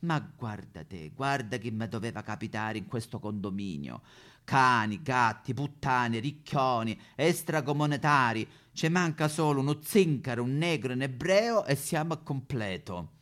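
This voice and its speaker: Male actor as grumbling intolerant neighbour, Roman accent, sour, cursing, expressive pitch, satirical monologue. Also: a little slow. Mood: bitter, disgusted. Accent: Roman Italian. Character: suspicious, bigoted, grumbling apartment-block resident.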